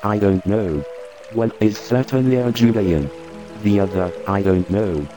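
A cautious householder in a radio drama, plain and matter-of-fact, with a lowish voice, as a man plays him. Music is clearly audible behind him. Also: unhurried, dark tone, lightly acted. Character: male householder reporting visitors, cautious.

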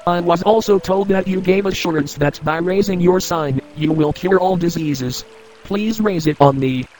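An actor playing a desperate, fearful leper who begs for healing. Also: male voice, sits high, darkish tone, natural voice, rough recording. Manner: pleading, fearful. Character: desperate leper man covered in sores, pleading. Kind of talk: radio drama acting.

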